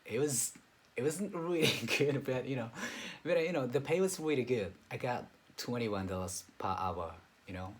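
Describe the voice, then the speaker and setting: A man's voice: medium pitch, lively intonation, normal speed. A man, an English learner chatting hesitantly on a video call with many filler words. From Japan. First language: Japanese. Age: 30s. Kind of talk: casual online lesson chat.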